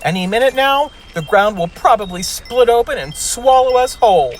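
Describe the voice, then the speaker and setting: Woman acting anxious doomsayer crewmate, highish voice, fretful, fatalistic, sci-fi sitcom, faint noise. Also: lively intonation, normal speed, natural voice. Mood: anxious, doomy. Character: anxious, doom-predicting spaceship crew member.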